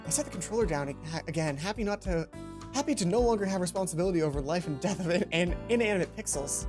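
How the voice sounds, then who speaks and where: A woman's voice: lowish voice, animated, fast. A woman let's play host reading visual novel text aloud with casual asides, sometimes stumbling and correcting herself. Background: game music.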